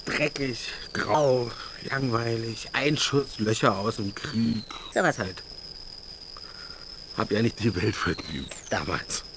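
An actor playing a colloquial 51-year-old longtime resident of a working-class quarter, chatting as an interviewee in a radio drama. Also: male voice, medium pitch, slow pace, noisy background. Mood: reminiscing. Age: 50s. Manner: colloquial, plain, reminiscing.

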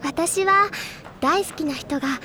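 A woman's voice: very high voice, slow, pushed and bright, a little hiss or hum, heavily acted. An actress playing a dreamy, playful literary schoolgirl in an audio drama, wistful and theatrically sulky. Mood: dreamy longing. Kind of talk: anime-style audio drama acting.

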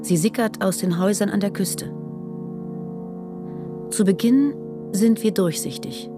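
An actress playing a brooding, oracular voice of sadness, solemn and deliberate, in a poetic radio drama. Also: female voice, medium pitch, music in the background, lightly acted. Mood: ominous, solemn.

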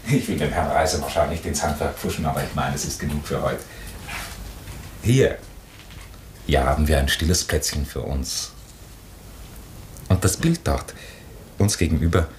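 Radio drama: a kindly, reflective priest, very low voice, faint rumbling noise on the recording. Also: male voice, animated, normal speed, long pauses. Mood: gently attentive. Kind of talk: German radio play acting.

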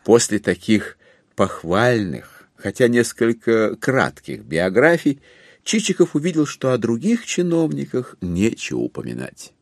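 A man narrating a classic literary audio drama in an expressive, scripted storytelling style. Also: mid-range voice, measured pace, no echo, studio quality.

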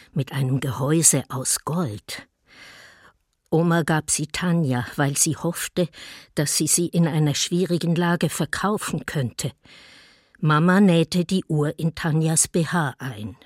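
A woman's voice: sits low, slow, dry recording, clean studio sound. An actress playing a wistful female witness simply recalling family life in a documentary radio drama. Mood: wistful, simple.

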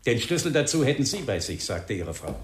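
An actor in a German radio crime drama playing a calm, persistent police inspector, polite and matter-of-fact. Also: male voice, mid-range voice, a little fast, close to an everyday voice. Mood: polite, insistent.

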